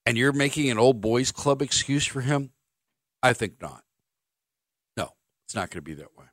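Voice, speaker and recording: A man's voice: medium pitch, expressive, normal speed, fairly bright tone, no echo. A middle-aged man hosting sports talk radio from a studio mic, blunt and sarcastic as he reads listener texts and gives his opinions. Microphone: studio microphone.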